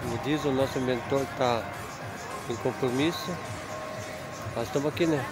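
A man films on a handheld phone at an outdoor flea market while talking. His voice sits at a middling pitch and his narration wanders, halting and circling back on itself. Loud music plays behind him throughout. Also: steady tone. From Curitiba region, Brazil. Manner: rambling, repetitive, halting. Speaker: guest filming for the channel. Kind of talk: casual walkabout narration.

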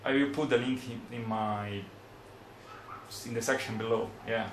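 A young man from Brazil with a Brazilian Portuguese accent, in his twenties, speaking English as a second language in a casual how-to demonstration. He talks in a plain, unhurried way and searches for words, at a desk in a small room. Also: on the low side, fairly even intonation, roomy sound, noisy background. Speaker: hobbyist demonstrator.

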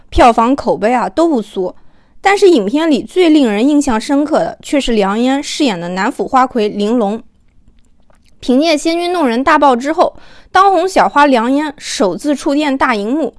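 A woman's voice: sits high, dry recording, clean studio sound. A woman narrating an audiobook in a storytelling style, acting out the dialogue as she goes.

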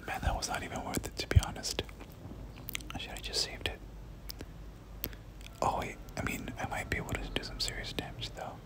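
A gaming creator giving ASMR game commentary in a breathy whisper, often repeating words in soft rhythmic runs. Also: low-quality recording.

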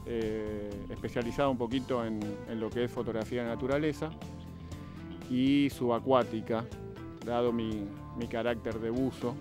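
A man talks in a measured, reflective way, pausing on fillers and restarting his phrases. His pitch sits in the middle range. He is recorded outdoors on a handheld microphone. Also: darkish tone. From Buenos Aires, Argentina. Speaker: interviewee, photographer and diver. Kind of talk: recorded interview testimony. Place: outdoors under trees.

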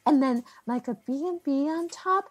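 Actress as small-town woman, high voice, folksy, effusive, coaxing, naturalistic audio drama. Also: normal speed, natural voice. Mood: folksy, chatty.

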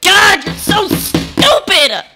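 A man using a pitch-raising voice changer to voice a bratty, smug fourth-grade boy trash-talking in an online game. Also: big pitch swings, drawn-out words, over loud music, exaggerated voice. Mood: frustrated. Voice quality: squeaky, processed high. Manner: taunting, childish, repetitive. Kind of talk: gaming trash talk.

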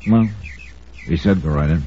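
An American actor playing a wry, self-assured insurance investigator in a 1950s radio drama. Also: male voice, rumbling low, noisy background. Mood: narrating. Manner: wry, brisk, crisply emphatic. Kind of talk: 1950s radio drama dialogue and first-person narration. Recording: muffled old radio recording, faint music.

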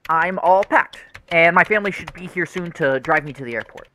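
A man's voice: a little high, brisk, bright, forceful tone, slight background noise. An actor playing an earnest, troubled young man in a radio play, hesitant and confessional. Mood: preoccupied.

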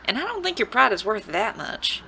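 Woman playing a bright, teasing saloon sweetheart. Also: fairly high pitch, very animated, moderate pace, creaky, slight background noise, heavily acted. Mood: coaxing, teasing. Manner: coaxing, teasing, sugary. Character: flirtatious, teasing saloon woman, sweet but quietly dangerous. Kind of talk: audio drama acting.

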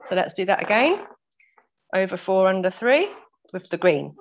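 A woman with a croaky voice teaching a weaving workshop over a video call, patiently explaining each step and laughing off her own mistakes. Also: medium pitch, very animated, normal speed, poor audio. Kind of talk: step-by-step craft demonstration. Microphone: laptop mic over video call.

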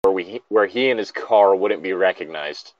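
Man, fairly low voice, casual reaction commentary, sweary, slightly lo-fi sound. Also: moderate pace, darkish tone, dry recording. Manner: sweary, sardonic. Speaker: host reading and reacting to a horror story.

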